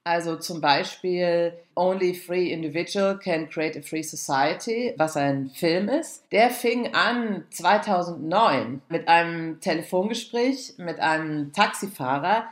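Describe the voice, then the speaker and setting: Low-voiced woman in a roomy space. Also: lively intonation, unhurried, hardly any pauses. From Frankfurt, Germany. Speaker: artist, interviewee. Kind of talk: reflective interview talk. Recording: roomy echo around the voice.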